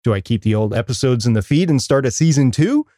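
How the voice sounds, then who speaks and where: A man co-hosting a podcast, talking and reading aloud in an animated, emphatic way. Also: mid-range voice, fast talker, no room sound, clean studio sound. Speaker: podcast co-host. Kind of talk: podcast talk and reading out a listener email.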